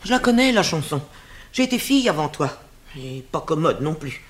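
An actress voicing an old royal nurse who scolds and dotes on her charge, in a stage drama. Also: moderate pace. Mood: scolding. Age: older. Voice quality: lively scolding voice, swinging high and low.

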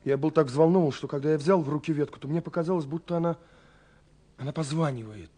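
An actor playing an earnest young romantic in a 1970s Soviet radio play, confiding haltingly with dramatic pauses. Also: male voice, fairly high pitch, mellow, close to an everyday voice. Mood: agitated, dreamy. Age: young adult. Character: earnest young romantic man searching for a mysterious girl. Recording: 1970s radio recording with faint music. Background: faint music.

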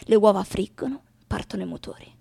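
A woman playing a wry, disillusioned lover in radio theatre, reciting bleak poetry with pauses and repeated words. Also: mid-range voice, creaky, dark tone, breathy edge, dry recording. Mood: weary, disillusioned.

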